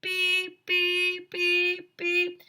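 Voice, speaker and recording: A shrill storybook smoke alarm, imitated by a woman's voice. It beeps over and over in clipped, insistent bursts, pitched far up and piercingly bright. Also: completely flat delivery, drawn-out words, heavily acted. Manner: repetitive, clipped.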